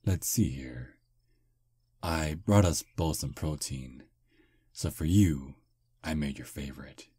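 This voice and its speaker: A man voicing a charming, polite male vampire on a first date, speaking softly and flatteringly in an ASMR roleplay. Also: extremely low pitch, takes it slowly, long pauses, husky, dry recording, heavily acted. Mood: smooth flirting. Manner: soft, flattering, gentlemanly. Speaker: voice actor.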